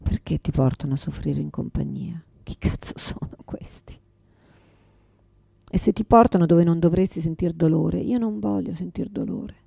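A woman's voice: lowish voice, moderate pace, gravelly, warm tone, breathy edge, no echo, clean studio sound, heavily acted. An actress playing a confused, forgetful mother in a radio theatre monologue, exhausted, bitter and pleading, speaking in repetitive fragments. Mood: wounded, resentful, then agitated pleading. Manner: fragmented, repetitive, swearing, swinging from whispers to outbursts.

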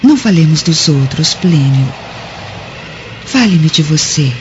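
A woman's voice: low-pitched, animated, unhurried. Coy, seductive Roman noblewoman. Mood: coy, flattering. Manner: coy, insinuating. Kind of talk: radio drama acting. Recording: dull lo-fi radio drama, faint noise.

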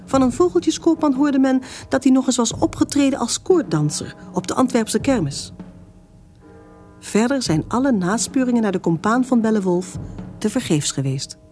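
A woman's voice: fairly high pitch, animated, normal speed, darkish tone. A woman narrator reading a scripted story for a radio serial. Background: music.

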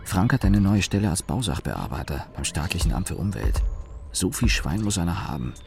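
An actor playing a disaffected, sardonic young man who narrates his own radio drama in a deadpan, grumbling way. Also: low-pitched, a little fast, vocal fry, over music, heavily acted. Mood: deadpan, disgruntled. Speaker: narrator and main character. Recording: noisy background ambience.

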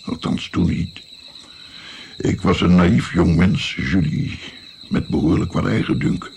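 A man's voice: low voice, unhurried, vocal fry, a little mellow, slight background noise. An actor playing an older musician and physician, recalling his naive youth in a reflective, hesitant, wry way in a radio play. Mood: wry, reminiscing.

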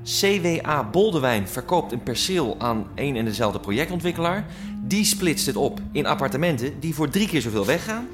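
An actor playing an eager, triumphant activist researcher in a radio drama, explaining things excitedly. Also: male voice, mid-range voice, moderate pace, over music, natural voice. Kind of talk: naturalistic radio drama acting.

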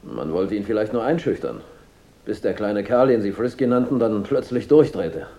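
Cocky, low-voiced chauffeur. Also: male voice, lively intonation, normal speed. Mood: sardonic. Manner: flippant, sharp-tongued. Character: cocky, sardonic male chauffeur quick with a gun. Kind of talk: German radio crime drama acting.

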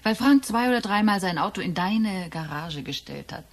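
An actress playing a condescending, needling middle-aged wife in a German radio drama, lecturing smugly like a schoolteacher. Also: female voice, mid-range voice, expressive, moderate pace. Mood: smugly knowing. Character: know-it-all wife, psychology-minded teacher, needling and condescending.

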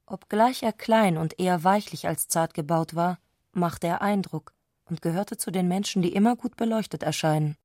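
A woman reading literary scripted narration as a radio drama narrator, measured and composed. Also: on the low side, a little mellow, no room sound, studio quality.

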